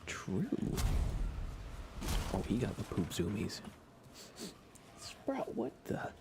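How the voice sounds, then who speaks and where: A soft-spoken man doing casual ASMR game commentary on a live stream, often slipping into whispers. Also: lowish voice, huge pitch swings, slow pace. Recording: clear background noise.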